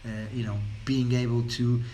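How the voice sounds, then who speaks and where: A man's voice: medium pitch, flat delivery, moderate pace, mellow, slight background noise, low-quality recording. A man from Portugal with a Portuguese accent, speaking about well-being in an unscripted talk, rambling along with many fillers and repeated words. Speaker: wellness speaker.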